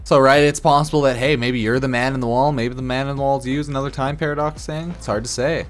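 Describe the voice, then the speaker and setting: A man streaming casual, rambling commentary on a game, loose and halting in an informal, slangy, slightly tipsy way. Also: medium pitch, fairly even intonation. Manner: informal, crude slang and swearing. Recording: music behind the voice. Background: background music.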